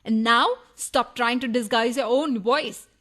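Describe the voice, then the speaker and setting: High-pitched, nagging wife. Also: female voice, steady tone, measured pace, a bit bright. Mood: accusing. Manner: accusatory, nagging. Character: suspicious, scolding, sharp-tongued wife of a burglar. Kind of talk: farcical radio play acting.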